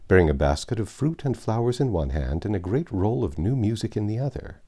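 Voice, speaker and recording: A man narrating a classic novel aloud in a measured, expressive storytelling manner. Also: on the low side. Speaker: audiobook narrator.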